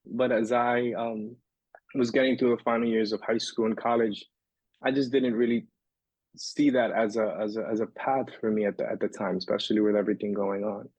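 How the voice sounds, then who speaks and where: A man's voice: on the low side, fairly even intonation, fairly quick, darkish tone, husky, no room sound, dull audio. A man from the Dominican Republic, in his thirties, talking as a podcast guest in a loose, rambling way with many fillers and restarts. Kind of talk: casual interview storytelling.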